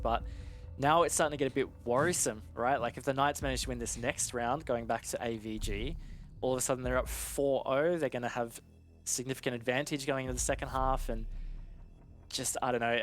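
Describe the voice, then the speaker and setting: A man working as an esports commentator, giving live analysis in a conversational, explanatory way. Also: mid-range voice.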